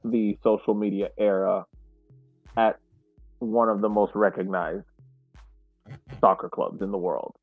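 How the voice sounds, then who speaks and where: A man's lowish voice comes through thin, phone-like audio. He discusses sport casually as a podcast co-host, halting and repeating words as he works out his point, with music underneath. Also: level tone, no echo.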